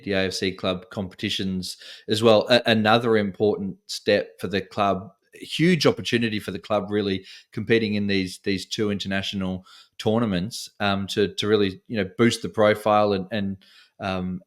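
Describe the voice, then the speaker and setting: A man hosting a football podcast, asking long, rambling questions with restarts. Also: on the low side. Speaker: podcast host.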